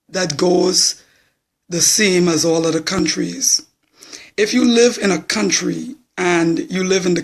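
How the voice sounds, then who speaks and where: A hoarse man in his forties with a Bahamian accent, talking bluntly and plainly to viewers on a live social media stream. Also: high-pitched. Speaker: political supporter.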